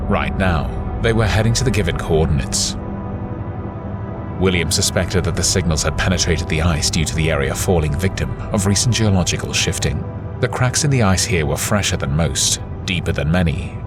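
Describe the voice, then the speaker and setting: A man reading scripted narration for a science fiction audio adventure. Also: low-pitched.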